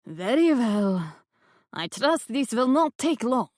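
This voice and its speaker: A woman's voice: on the high side, big pitch swings, slow pace, darkish tone, no echo, studio quality, voice pushed up. A woman voicing a cold, theatrical fake medium speaking with haughty disdain.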